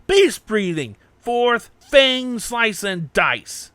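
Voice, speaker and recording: A man voicing a hot-headed young swordsman from an anime story, belligerent and yelling, spoiling for a fight. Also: sits high, sing-song, extremely slow, no echo, voice pushed up, exaggerated voice. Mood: battle cry.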